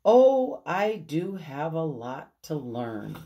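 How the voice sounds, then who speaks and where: A woman's voice: sits low, big pitch swings, slow, warm tone, dull audio, full character voice. Woman voicing a storybook housekeeper, cheerful, literal-minded, earnest, exclamatory, swooping intonation.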